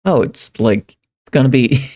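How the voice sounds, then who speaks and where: A man livestreaming in a casual, rambling way, halting and repeating phrases as he thinks aloud. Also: mid-range voice, animated, a little mellow. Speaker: live streamer. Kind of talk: casual livestream chat, reading viewer comments.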